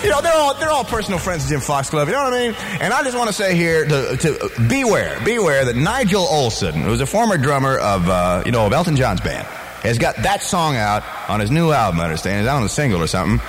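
A man's voice: fairly high pitch, very animated, fast talker, a bit bright, faint music behind. A man working as a radio DJ, rambling and wisecracking in loose, filler-heavy patter in a 1970s FM studio. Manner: loose, rambling, joking with "you know" fillers.

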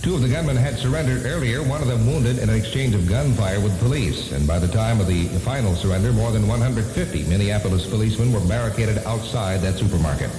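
A man's voice: fairly low pitch, fast talker, few pauses, background noise. A man reading a scripted news bulletin on 1970s AM radio. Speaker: radio newsreader. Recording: echoey, reverberant 1970s AM radio newscast.

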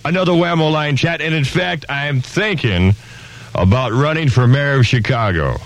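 A man's voice: on the high side, moderate pace. A man with an American accent hosting a 1970s Top 40 radio show as a disc jockey, with zany, hammy patter and exclamatory catchphrases. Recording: 1970s radio aircheck with music.

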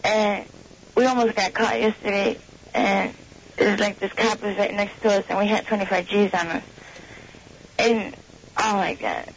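A woman's voice: mid-range voice, fairly even intonation, normal speed, long pauses. A young woman calling a radio show, confessing in a hesitant, casual way. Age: young adult. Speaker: anonymous radio call-in guest. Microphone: telephone. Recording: dull noisy phone-in on aircheck tape.

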